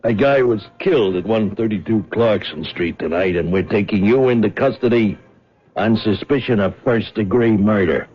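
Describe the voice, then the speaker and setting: A man's voice: lowish voice, normal speed, soft background music, lightly acted. An actor playing a blunt male police detective in a 1970s radio drama, with a curt, businesslike manner. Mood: stern. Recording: dull old radio broadcast.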